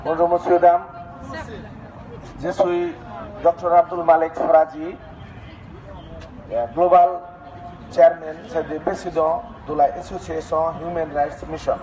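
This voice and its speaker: A man speaking earnestly at an outdoor protest, halting often with fillers and restarts. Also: fairly high pitch, level tone, crowd noise. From Bangladesh. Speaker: human rights association president. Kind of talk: street protest speech. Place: outdoors on a city square at a protest. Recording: noisy street recording.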